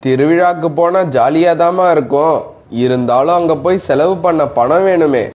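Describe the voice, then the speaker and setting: A man's voice: on the high side, moderate pace, darkish tone, close to an everyday voice. Eager young crow son, played by a man. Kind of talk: Tamil animated moral story acting.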